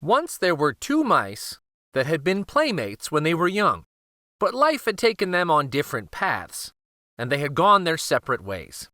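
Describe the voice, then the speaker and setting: Animated, bright-voiced male children's storyteller. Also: fairly high pitch, normal speed, no echo, studio quality. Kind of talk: scripted storybook read-aloud narration.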